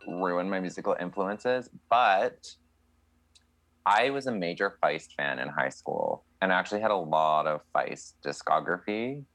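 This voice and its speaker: A man's voice: deep voice, measured pace, fairly bright tone, dry recording. A young man from Canada, a country singer, answering interview questions in a chatty, candid way with many fillers.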